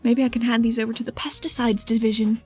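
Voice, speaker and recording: Woman as a tabletop gamer, slightly high-pitched, conversational and offhand improvised roleplay with music underneath. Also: little pitch movement, fast, darkish tone, slightly rough. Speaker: tabletop game player. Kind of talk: casual improvised roleplay chat. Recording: music behind the voice.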